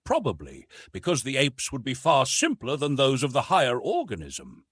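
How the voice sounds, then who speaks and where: A man voicing a patient, authoritative police official in a storybook, explaining things in a measured, formal, precise way. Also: mid-range voice, huge pitch swings, fairly bright tone, husky, dry recording, studio quality, full character voice. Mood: instructive.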